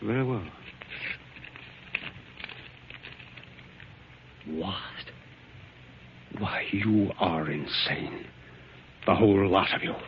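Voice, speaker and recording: An actor playing an earnest, absent-minded scientist in a 1940s radio drama, hesitant and stammering, easily roused to indignation. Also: male voice, sits low, slow pace, noisy background. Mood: disbelieving. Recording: dull lo-fi 1940s radio recording.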